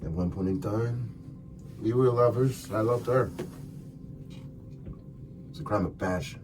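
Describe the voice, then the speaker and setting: A man's voice: lowish voice, measured pace, distant mic, natural voice. A man voicing a defiant, aggrieved murder suspect who protests in an ASMR crime roleplay. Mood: confessing, regretful.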